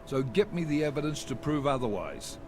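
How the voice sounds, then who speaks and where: A man's voice: fairly high pitch, lively intonation, fairly quick, noisy background, lightly acted. An actor playing a stern male agency director who gives firm, curt orders. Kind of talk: video game dialogue.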